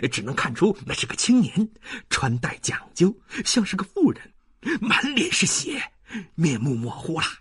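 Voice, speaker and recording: A man voicing a sly, wheedling scoundrel in an audiobook, with a husky, rough voice and a halting, insinuating manner. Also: on the high side, fairly bright tone, heavily acted. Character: sly, wheedling male scoundrel selling secrets. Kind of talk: audiobook character acting.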